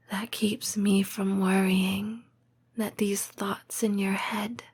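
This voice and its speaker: A woman voicing a tender, patient lamia girlfriend in an intimate comfort roleplay, breathy and whispering, with measured, formal phrasing. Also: mid-range voice, a little mellow, heavily acted. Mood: tender, reassuring. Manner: slow, measured, formal phrasing with deliberate pauses. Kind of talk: intimate comfort roleplay audio, scripted monologue.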